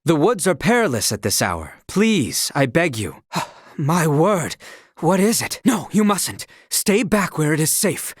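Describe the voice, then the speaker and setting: A man's voice: fairly high pitch, big pitch swings, unhurried, breathy edge, no echo, clean studio sound, exaggerated voice. An actor playing a loyal young knight in a video game, speaking in a formal, old-fashioned way, dutiful and protective of his princess. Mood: alarmed. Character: earnest young male knight, loyal royal guard.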